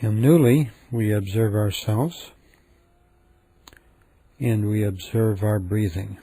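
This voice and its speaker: A man leading a guided meditation in a gentle, calm, unhurried manner with frequent pauses. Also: fairly low pitch, breathy edge, no room sound.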